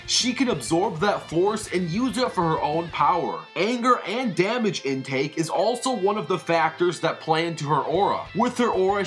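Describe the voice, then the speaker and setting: An energetic YouTube-style host reads scripted narration. The voice sits at a medium pitch, with music playing underneath. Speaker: host and narrator of an animated fight series.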